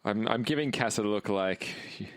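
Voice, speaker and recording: Low-voiced man playing a tabletop game. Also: moderate pace, dry recording, low-quality recording. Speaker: player on an actual play podcast. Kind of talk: casual improvised tabletop game narration.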